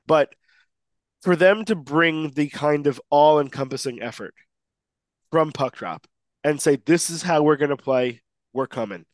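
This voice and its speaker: A man's voice: on the high side, unhurried, long pauses, dry recording, poor audio. A man co-hosting a sports podcast who is losing his voice and sounds a little nasal, talking emphatically with lots of restarts. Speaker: podcast co-host. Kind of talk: excited sports podcast chat.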